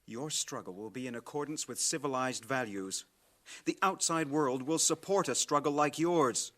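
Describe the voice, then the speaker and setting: An actor playing an idealistic foreign reporter in a radio drama, earnest, indignant and emphatic. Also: male voice, mid-range voice, normal speed, fairly bright tone, lightly acted. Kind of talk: 1980 radio drama acting.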